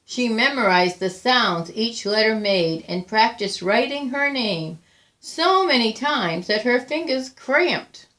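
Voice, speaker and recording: A woman reading a children's picture book aloud in a deliberate, expressive storytelling manner, with drawn-out emphasis and pauses. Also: fairly high pitch. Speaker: volunteer picture book reader.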